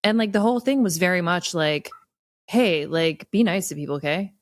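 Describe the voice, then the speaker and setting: A woman's voice: on the low side, lively intonation, normal speed, no echo, studio quality. A woman co-hosting a comedic podcast in a casual, wry, conversational way, often dropping in "like". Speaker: podcast co-host.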